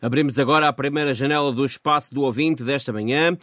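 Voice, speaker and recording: A man's voice: mid-range voice, steady tone, fast talker, fairly bright tone, no room sound. A man presenting scripted radio news as host, speaking into a studio microphone.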